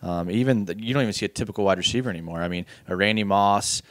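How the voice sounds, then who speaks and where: Man, very deep voice, dry close sound, measured podcast co-host. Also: dry recording. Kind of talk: casual sports podcast chat.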